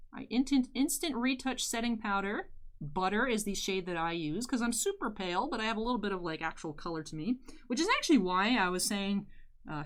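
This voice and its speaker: A young woman with a deeper voice, chatting candidly while doing her makeup for a vlog. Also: fairly bright tone. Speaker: YouTuber. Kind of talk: casual makeup tutorial chat.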